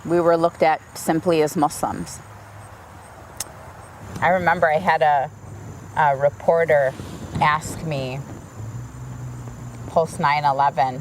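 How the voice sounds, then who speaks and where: Frank, low-voiced woman from the U.S. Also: slight background noise, low-quality recording. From United States. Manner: frank, animated storyteller. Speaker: interviewee. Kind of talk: interview, personal account.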